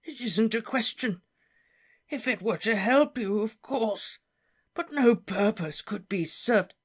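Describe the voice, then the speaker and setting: A narrator voicing a tipsy, maudlin old man, a plump wizard teacher, with drawn-out, quavering, wheedling lines that sit well above his own voice. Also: mid-range voice, expressive, breathy edge, pitched above the performer's own voice. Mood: anxious, pleading.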